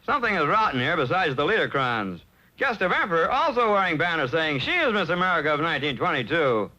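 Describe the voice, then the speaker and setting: A fussy cartoon cook. The delivery is high, piercing and swoops about in clipped phrases. The old soundtrack is dull. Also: male voice, fast talker. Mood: exasperated. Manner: clipped broken English, animated. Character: fussy Chinese palace cook, easily exasperated.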